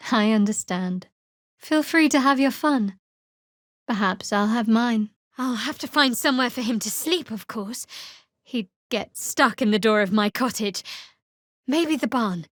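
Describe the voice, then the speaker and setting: An actress playing a guarded, wry young half-elf cleric in a fantasy video game, speaking in a measured, dry, slightly haughty way. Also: female voice, on the high side, a bit bright, no room sound. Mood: dry, composed. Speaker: video game character voice actor. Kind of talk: scripted fantasy game dialogue.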